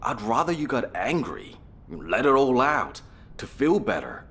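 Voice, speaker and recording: An actor playing a calm, consoling comrade in a dramatic video game, with gentle, measured delivery. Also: male voice, mid-range voice, gravelly, full character voice. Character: calm, consoling male comrade tending a patient.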